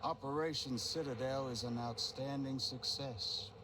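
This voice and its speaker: A man plays a cold, commanding executive villain in a video game. His delivery is formal and authoritative, at a middling pitch, over clear background noise. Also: steady tone, measured pace, natural voice.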